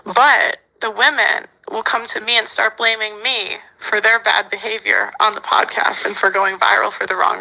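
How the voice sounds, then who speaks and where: A woman's voice: mid-range voice, vocal fry, pushed and bright, poor audio. A woman hosting a podcast panel, putting direct questions to her guests. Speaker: podcast host. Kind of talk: moderating a panel discussion.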